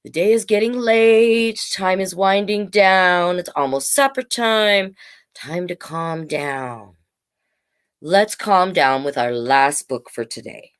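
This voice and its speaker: A woman's voice: fairly low pitch, slow, clear, resonant tone. A woman hosting a storytime, reading a children's book aloud expressively and coaxing young listeners with little asides. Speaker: storytime host, drag king performer.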